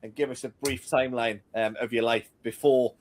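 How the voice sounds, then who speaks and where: A man's voice: mid-range voice, steady tone, a little fast, a little hiss or hum. A man hosting a podcast, welcoming a guest with enthusiastic, punchy remarks. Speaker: podcast host.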